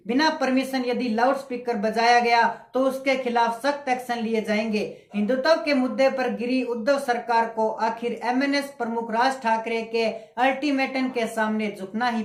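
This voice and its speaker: A woman's voice: fairly high pitch, fairly bright tone, roomy sound. A woman presenting scripted political news in an emphatic, dramatic newsreader style.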